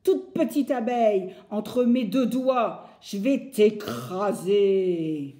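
A woman voicing a big, blustering, angry male woodcutter who shouts and growls threats. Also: very high voice, steady tone, unhurried, reverberant, voice pushed up. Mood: growling threats.

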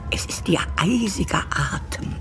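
An actress playing an old grandmother in a fairy tale audio drama, breathy and hushed, mysterious and grave. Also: medium pitch, animated, music in the background, close to an everyday voice. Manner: slow, mysterious, cautioning. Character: kindly, grave old grandmother telling eerie winter lore.

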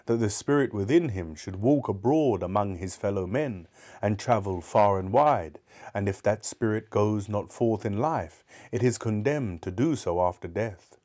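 A man's voice: fairly low pitch, lively intonation, unhurried, darkish tone. A man voicing the solemn, mournful ghost of a dead businessman in a storybook reading. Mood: woeful. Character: grave, doomed male ghost of a dead businessman.

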